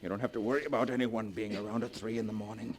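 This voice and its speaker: A man's voice: lowish voice, fairly even intonation, rapid-fire, close to an everyday voice. An actor playing a desperate, obsessed man in a 1940s radio drama, urgent and melodramatic. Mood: urgent, insistent. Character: desperate, obsessed young husband, pleading and insistent. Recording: noisy vintage 1940s radio broadcast.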